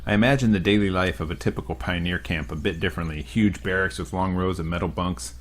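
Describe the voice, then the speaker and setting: Deep-voiced man joking through a game. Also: fairly even intonation, fast. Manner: crude joking asides. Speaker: let's play host. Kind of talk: reading a visual novel aloud with commentary.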